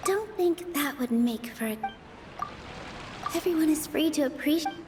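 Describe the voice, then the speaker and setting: A gentle young stage performer in a video game, earnest and careful with her words. Her voice is very high, over faint background noise. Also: animated. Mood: anxious, thoughtful. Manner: earnest, deliberate.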